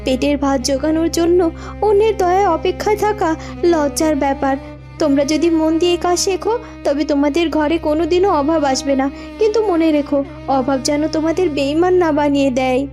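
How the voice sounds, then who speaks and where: A woman's voice: high-pitched, moderate pace, mellow, faint music behind, natural voice. A woman playing a compassionate but stern young princess in a fairytale cartoon, with a solemn, declamatory delivery. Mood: solemn, caring. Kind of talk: children's fairytale cartoon acting.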